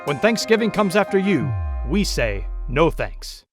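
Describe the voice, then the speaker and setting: A man reading a parody law firm commercial as an earnest pitchman. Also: sing-song, unhurried, loud music behind the voice. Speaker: ad announcer.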